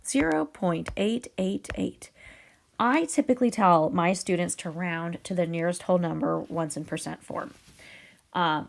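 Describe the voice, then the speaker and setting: A woman teacher explaining a math problem step by step, her voice raspy from a cold. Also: lowish voice. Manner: upbeat, patient explaining with fillers. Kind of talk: video math lesson.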